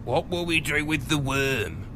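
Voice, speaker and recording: A man's voice: on the high side, lively intonation, unhurried, a little hiss or hum. A man playing a villain's servile but cheeky henchman in an audio drama, dry in his delivery. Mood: matter-of-fact. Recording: loud music under the voice.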